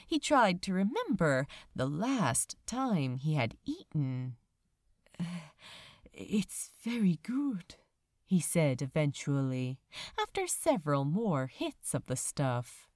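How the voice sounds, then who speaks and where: A woman reading fiction aloud as an audiobook narrator, dramatically, with lingering phrases and pauses. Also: deep voice, very animated, a little mellow, no room sound, clean studio sound. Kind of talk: expressive scripted fiction narration.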